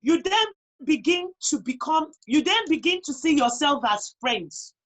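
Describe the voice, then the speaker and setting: High-pitched Nigerian woman, emphatic talker. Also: lively intonation, a little slow. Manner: emphatic, repeats phrases for emphasis. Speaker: guest on a talk show. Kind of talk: conversational interview, personal advice.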